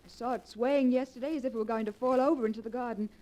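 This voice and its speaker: Actress as high-voiced young 1940s radio bride. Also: female voice, steady tone, fast talker, dark tone, lightly acted. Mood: polite, curious. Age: young adult. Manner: breathless, earnest melodramatic delivery. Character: young bride, eager, romantic, easily frightened. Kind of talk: 1940s radio drama acting. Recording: noisy 1940s radio recording.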